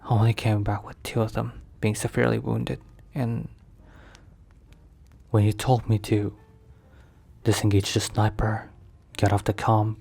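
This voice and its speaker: An actor playing a protective mafia boss boyfriend in an intimate ASMR roleplay, speaking slowly and hesitantly in a confiding, sometimes breathy way. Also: male voice, lowish voice, level tone, darkish tone, faint music behind. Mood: somber, worried.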